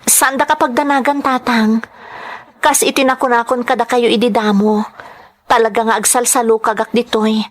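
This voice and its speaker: A woman with a Filipino accent acting an overworked, grateful young migrant worker in an audio drama, emotive and softly deferential. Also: on the high side, fairly even intonation, normal speed, lightly acted. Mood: earnest, reassuring. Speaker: drama actress.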